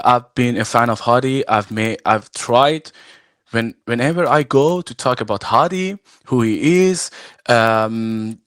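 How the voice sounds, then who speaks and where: A man from Iran whose first language is Farsi, talking casually and haltingly on a video call, full of fillers and restarts. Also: medium pitch, a bit bright, dry recording, clean studio sound. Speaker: guest, arm wrestler and referee. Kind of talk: casual live-stream chat. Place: at home, arm wrestling table behind.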